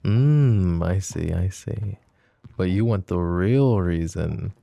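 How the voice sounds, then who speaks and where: A man voicing a possessive, flirtatious mafia boss in an ASMR roleplay drama, with a low, soft, teasing drawl. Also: expressive, slow pace, vocal fry, heavily acted. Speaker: voice actor.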